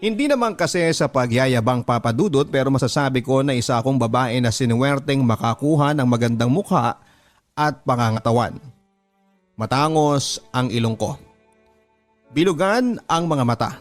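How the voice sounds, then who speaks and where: A man's voice: mid-range voice, lively intonation, moderate pace. A man with a Filipino accent reading a scripted first-person letter as a radio drama narrator. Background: background music.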